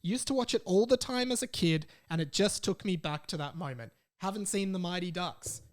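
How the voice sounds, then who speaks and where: Higher-voiced young Australian man, podcast host. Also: normal speed. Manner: chatty, informal, commenting as he reads. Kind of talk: casual solo podcast chat, reading listener messages aloud.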